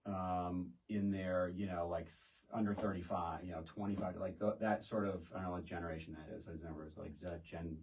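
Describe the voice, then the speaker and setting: Very deep middle-aged American man, slightly distant. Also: steady tone, fairly quick, hardly any pauses, darkish tone, a little hiss or hum. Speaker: podcast guest, realtor. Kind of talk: casual conversational explaining. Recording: distant microphone.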